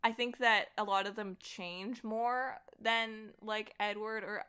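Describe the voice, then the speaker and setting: Bright-voiced young woman chatting. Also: mid-range voice, takes it slowly, smooth. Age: young adult. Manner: animated, rambling, frequent "like". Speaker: podcast guest, voice actor. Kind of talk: casual conversation about comics.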